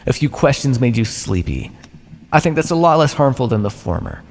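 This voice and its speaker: A man voicing an ancient, smug and menacing vampire captor in an ASMR roleplay, taunting and patronising with deliberate theatrical pauses. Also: medium pitch, very animated, a little mellow. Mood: coaxing, probing. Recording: long reverb, noisy background.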